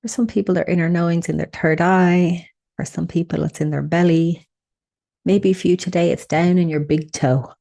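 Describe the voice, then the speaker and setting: A woman leading a guided meditation, speaking in a soothing, unhurried way with gentle instructions and pauses. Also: on the low side, fairly even intonation, gravelly.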